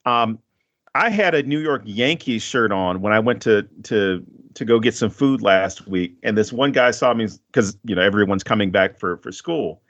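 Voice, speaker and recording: A man's voice: lowish voice, animated, moderate pace, fairly bright tone. Rambling male podcast host, lo-fi. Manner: rambling, laughs often. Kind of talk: casual film discussion.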